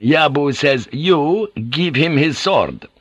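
A man's voice: mid-range voice, animated, unhurried, pushed and bright, no echo, voice pushed up. A man voicing a coldly amused Jesuit priest in an audiobook, relaying words in a pointed, cool manner. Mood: urgent relaying.

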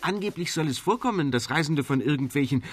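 An actor playing an eager, fussy French valet in a radio play. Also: male voice, fairly high pitch, lively intonation, quick pace, no echo. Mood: worried. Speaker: Passepartout, the valet.

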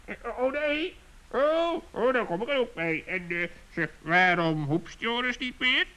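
A man playing a clever old male bird in a children's radio play, with a piercing voice and halting exclamations. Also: very high-pitched, animated, full character voice. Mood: rueful. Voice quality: sharp, piercing.

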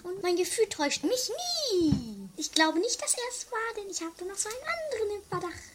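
A woman's voice: extremely high pitch, sing-song, brisk. A woman playing a giddy, clumsy, coffee-obsessed woman in an amateur comedy radio play, chirpy and repeating herself. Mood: matter-of-fact. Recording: faintly noisy 1980s amateur radio recording.

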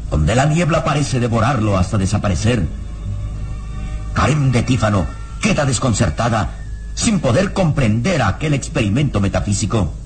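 A man's voice: medium pitch, very animated, normal speed, faint music behind. A man narrating a radio serial in a solemn, florid, dramatic storytelling style.

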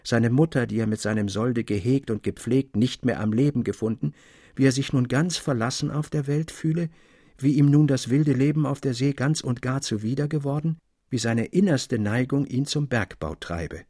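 Male audiobook narrator, expressive classic storytelling, slightly husky, close dry studio recording. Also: medium pitch, moderate pace, no echo.

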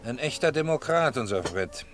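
An actor playing a chatty, flirtatious bar regular in a German radio drama, with a jovial, teasing manner. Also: male voice, medium pitch, sing-song, moderate pace, close to an everyday voice. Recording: music playing behind the dialogue. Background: music.

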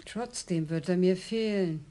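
An actress playing a blunt, dry-witted elderly widow in a radio crime play. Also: female voice, on the low side, a little slow, mellow, close to an everyday voice. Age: older. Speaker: murder victim's widow. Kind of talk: radio drama acting.

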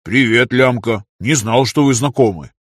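A man's voice: mid-range voice, moderate pace, dry recording, studio quality, natural voice. A man voicing a brash, boastful young workshop lad with a cocky drawl in an audiobook. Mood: self-important. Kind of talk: audiobook character voice.

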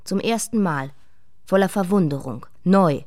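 A woman's voice: lowish voice, animated, measured pace, a little mellow. An actress playing an imperious, restless young queen in a radio play, speaking with commanding intensity. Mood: wondering, intimate. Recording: long reverberant hall.

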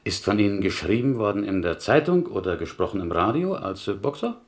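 A man's voice: on the low side, very animated, a little fast. An actor playing a polite, anxious, mild-mannered man with an Eastern European accent in a radio drama, speaking formal German with inverted word order. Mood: curious. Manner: courteous, formal, inverted sentence order, questioning.

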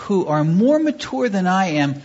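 A woman preaching a sermon in short phrases, pausing for an interpreter and acting out little dialogues. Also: mid-range voice, slightly rough. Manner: acts out short dialogues, lively. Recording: dull lo-fi recording.

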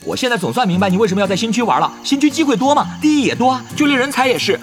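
Cocky motormouth young male gamer for audio drama; very high voice, quick, nagging. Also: expressive, faint music behind. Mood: excited, pressing. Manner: chatty, rapid-fire, repeats himself. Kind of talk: Chinese audio drama acting.

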